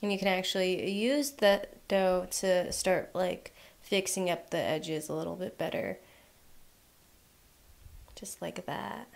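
A young woman hosting a home cooking channel, walking through a recipe in a relaxed way with lots of "um" and "like". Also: medium pitch, gravelly. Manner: relaxed, filler-heavy instructions with frequent "um" and "like". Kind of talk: casual recipe voice-over.

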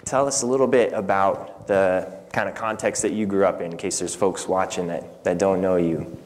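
A man's voice: low voice, fairly even intonation, moderate pace. A man in his thirties hosting a conversational interview as pastor in a reverberant church. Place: indoor church sanctuary. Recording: reverberant hall.

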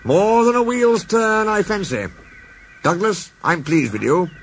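A man voicing a kindly, authoritative older railway boss who speaks cheerfully and gravely by turns. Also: high-pitched, lively intonation, measured pace, a little hiss or hum, voice pushed up.

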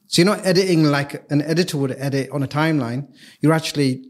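A man's voice: fairly high pitch, quick pace. A man who works as a video strategist, explaining his work as a podcast guest in a casual way, often restarting and repeating words.

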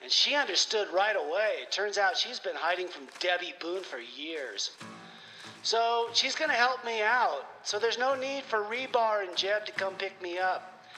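A man's voice: high-pitched, animated, measured pace, pushed and bright, full character voice, rough recording. A man playing a frantic, paranoid male clone who phones a radio show while on the run, rambling and melodramatic, over music. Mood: triumphant. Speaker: caller. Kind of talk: comedy radio theater.

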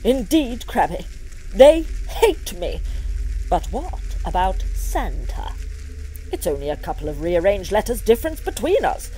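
A pompous, theatrical devil, vain and full of schemes, in a comic audio drama. The delivery swings widely in pitch over faint background ambience. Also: female voice, very animated, a little slow, dark tone, a little hiss or hum, poor audio. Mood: needy, brooding.

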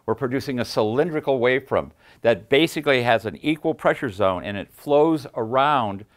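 An older man with a mid-pitched voice presents his own engineering work. He speaks off the cuff in dense technical terms, stressing and repeating his claims. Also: animated, normal speed. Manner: emphatic, jargon-heavy, repeats himself for emphasis. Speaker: sound system designer and presenter. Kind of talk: unscripted technical explanation. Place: padded, treated listening room indoors.

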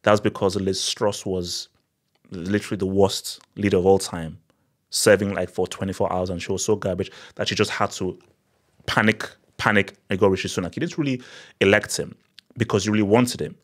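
A man in his thirties with a Nigerian accent, giving sarcastic, animated political commentary into a desk microphone in a small studio. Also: sits low, moderate pace. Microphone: desk mic on boom arm.

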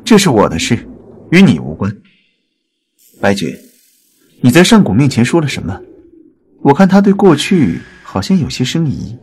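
A man's voice: medium pitch, wildly swooping pitch, normal speed, pauses often, darkish tone, breathy edge, exaggerated voice. A man playing a proud, sardonic and ruthless god in a dramatic audio drama, scornful and challenging. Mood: cold, accusing.

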